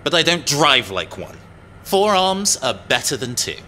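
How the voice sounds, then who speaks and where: A man playing a cocky, touchy young robot pilot and tinkerer in an audio drama, sarcastic and animated. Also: fairly high pitch, a little slow, slight background noise, exaggerated voice.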